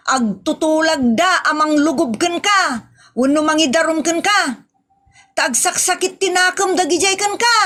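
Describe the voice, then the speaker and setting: A woman's voice: very high voice, pushed and bright, exaggerated voice. A woman acting a fiery, scolding, threatening character in an Ilocano-accented comedy drama. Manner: threatening, reproachful.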